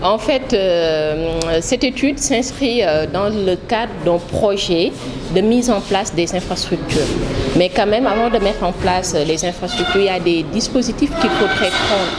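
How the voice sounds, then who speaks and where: A woman with a Senegalese accent, a project manager answering an interview, speaking haltingly with many pauses and switching between French and Wolof. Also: medium pitch. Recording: loud background noise.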